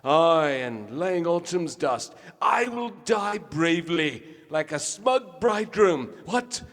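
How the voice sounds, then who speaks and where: An actor playing a vain, tipsy Shakespearean stage star with grandiose theatrical delivery in a radio play. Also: male voice, fairly high pitch, very animated, slow pace, a bit bright, in a large hall, exaggerated voice. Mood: grand, mad declaiming.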